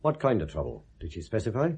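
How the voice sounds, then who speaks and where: An actor playing a shrewd, sceptical British police detective in a 1970s radio drama, probing with dry, sardonic questions. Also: male voice, lowish voice, wildly swooping pitch, fast, a little mellow, breathy edge, heavily acted. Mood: brisk, probing.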